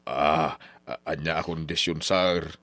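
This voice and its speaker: A man's voice: a bass voice, steady tone, measured pace, fairly bright tone, no echo, heavily acted. An actor playing an ambitious, scheming older father, pushy and insistent, in old radio drama style. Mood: pleading, deferential.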